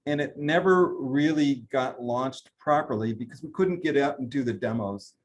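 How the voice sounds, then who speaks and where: A man, a brand president, giving a trade interview and running through product lines with steady talk and frequent fillers. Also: mid-range voice, moderate pace, lo-fi sound, slight background noise. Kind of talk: business interview, product rundown.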